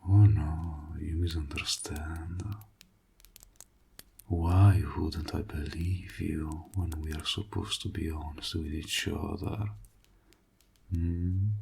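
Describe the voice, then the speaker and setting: A man voicing an evil, mocking warlock in an audio roleplay, speaking in a theatrical, taunting, breathy and gravelly voice that often drops toward a whisper. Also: sits low, flat delivery, very slow, long pauses, warm tone, slight background noise, heavily acted. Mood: amused, coy. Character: evil, mocking male warlock toying with his client.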